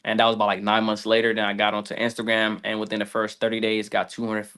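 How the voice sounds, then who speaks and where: Fast-talking young man, fairly low voice. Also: completely flat delivery, fairly bright tone, clear, resonant tone. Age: 20s. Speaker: guest, social media content creator. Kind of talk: casual interview, telling own story.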